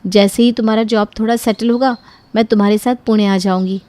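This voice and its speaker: A woman playing a young married daughter from a wealthy home, aggrieved and entitled, in an animated moral story. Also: mid-range voice, fairly quick, dry recording, lightly acted. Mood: pleading, reasoning.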